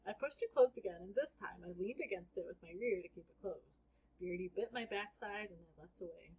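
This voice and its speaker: A woman reading a children's book aloud as an expressive first-person storyteller. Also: medium pitch, brisk, slightly rough, highs cut off.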